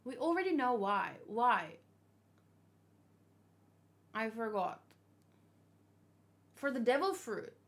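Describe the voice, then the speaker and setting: Higher-pitched woman, musing casually. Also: sing-song, takes it slowly, long pauses. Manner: musing, thinking aloud, asking questions. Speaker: manga reaction host. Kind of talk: casual unscripted chat.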